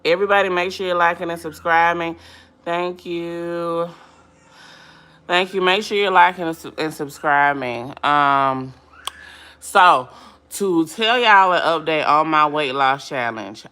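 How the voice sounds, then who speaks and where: Woman, livestream host, low scratchy voice with a bright, forceful edge, chatty and upbeat with viewers. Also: unhurried. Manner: reads viewer comments aloud and answers them, chatty and upbeat. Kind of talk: casual livestream chat with viewers.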